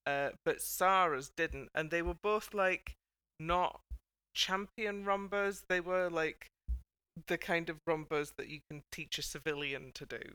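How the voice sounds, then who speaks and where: A woman's voice: fairly low pitch, a little slow, sharp tone, clear, resonant tone, no echo. A woman hosting a casual panel chat in a chatty, wry manner. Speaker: podcast host.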